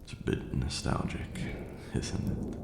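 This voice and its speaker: A man playing an ancient vampire lord in an intimate ASMR roleplay, haughty yet tender, speaking formally and with measured care in a deep, gravelly murmur. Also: a little mellow, lightly acted. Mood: tender, wistful. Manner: measured, formal archaic diction, condescending yet doting. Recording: roomy echo, faint background noise.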